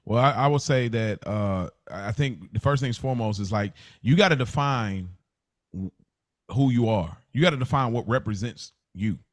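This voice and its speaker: A man's voice: medium pitch, expressive, moderate pace, dry recording. A man co-hosting a casual podcast, making points emphatically and building them up through repetition. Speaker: podcast co-host.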